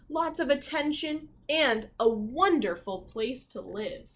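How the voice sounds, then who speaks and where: Slow, higher-voiced woman reading a storybook. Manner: expressive reading, pausing to ask simple questions. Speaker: teacher reading aloud. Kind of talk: children's storybook read-aloud with questions to listeners.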